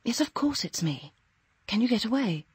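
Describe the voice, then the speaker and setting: An actress playing a brisk, commanding woman in a radio drama, insistent and direct. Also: fairly high pitch, big pitch swings, breathy edge, dry recording, heavily acted. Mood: urgent. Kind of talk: British radio drama acting.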